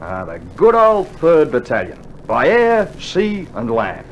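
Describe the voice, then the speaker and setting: A man's voice: fairly high pitch, huge pitch swings, slow pace, lo-fi sound, heavily acted. An actor playing a cheeky, skeptical young Australian infantryman with dry sarcastic banter, in a scripted mid-century radio drama. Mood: teasing, sardonic. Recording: noisy old vinyl radio drama recording.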